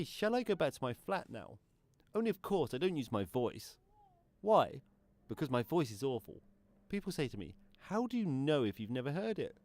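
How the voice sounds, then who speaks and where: A man's voice: mid-range voice, a little mellow, no echo. An actor playing a shy, earnest deaf man who confides his story in a radio play monologue.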